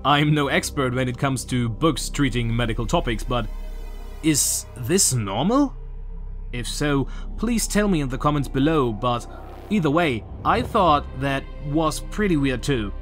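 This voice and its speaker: A young man in his twenties with a German accent, earnestly talking through a game theory from his bedroom, with a few filler pauses. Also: mid-range voice, lively intonation, faint music behind. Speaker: YouTuber. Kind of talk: game theory video commentary. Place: small bedroom with shelves, indoors.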